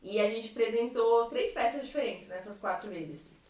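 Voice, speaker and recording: A woman's voice: fairly high pitch, normal speed, warm tone. A woman from a theatre group chatting casually on a podcast. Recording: echoey room, distant mic.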